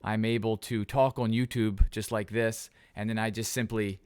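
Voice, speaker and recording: A man with a low voice rambles with enthusiasm on a video call, tripping over fillers as he explains his setup. The sound is dry and close. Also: little pitch movement, normal speed, a little mellow, clean tone, no echo. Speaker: ham radio operator who streams nets. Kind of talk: casual video call chat.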